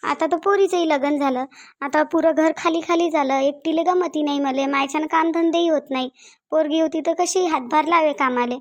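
Woman acting high-voiced, lamenting Varhadi village mother. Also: fairly even intonation, fairly quick, lightly acted. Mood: lonely, complaining. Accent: Varhadi Marathi of Vidarbha. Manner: repetitive, lamenting. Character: aging village mother, lonely, pleading, plaintive. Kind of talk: cartoon dialogue.

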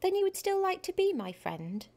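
A woman's voice: a little high, sing-song, normal speed, darkish tone, slightly rough, full character voice. Woman doing a stubborn little elephant boy. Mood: eager. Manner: childlike and insistent. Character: young male elephant child, stubborn then friendly.

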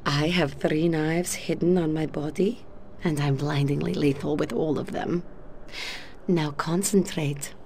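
A woman's voice: low-pitched, fairly even intonation, measured pace, darkish tone, slight background noise. An actress voicing a sultry female Russian KGB spy with a Russian accent in a video game cutscene, cool and confident. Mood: menacing. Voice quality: cool, confident, dry delivery.

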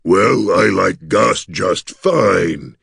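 A man voicing a gentle, timid, glum gargoyle in a fantasy audiobook, with a gravelly, husky voice and mournful delivery. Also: low voice, lively intonation, a little slow, no room sound, studio quality, exaggerated voice. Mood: amazed, hopeful.

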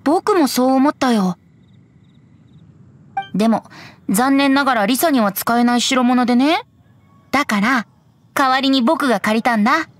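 A woman's voice: high-pitched, a little slow, pauses often. A woman voicing a playful, breezy young male bard in an anime game, with airy, teasing, polite boyish speech. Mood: explaining, playful.

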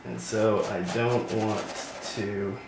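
A man's voice: on the low side, little pitch movement, slow. A Canadian man from northwestern Ontario talking casually through a crafting tutorial as he paints a model, chatty and rambling. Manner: rambling, chatty, talks while working. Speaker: miniature crafting host. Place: indoor hobby workbench. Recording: roomy, distant mic with loud background noise.

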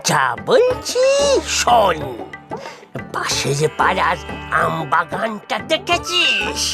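A woman's voice: mid-range voice, huge pitch swings, slow pace, gravelly, over music, full character voice. A woman voicing a cranky, mischievous, hard-of-hearing old grandparent in a comic Bengali cartoon, scolding and exclaiming in a muddled way. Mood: scheming, stubborn.

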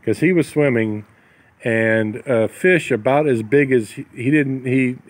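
A man's voice: medium pitch, measured pace, no room sound. A middle-aged or older man in casual conversation, telling things in a folksy, rambling way. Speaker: vlogger, expatriate farmer. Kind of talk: casual chat. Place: outdoors at a waterside building site. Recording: noisy outdoor recording, ambient background noise.

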